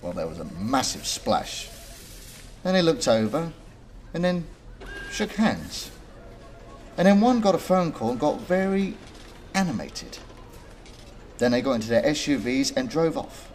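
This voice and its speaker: A male actor plays a young conspiracy buff in a radio drama. He is earnest and casual, with a very mobile mid-pitched voice and slight ambient noise behind him. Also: very animated, measured pace, pauses often, darkish tone. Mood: eager, conspiratorial. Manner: informal, earnest storytelling. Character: eager young male photography student, conspiracy nut.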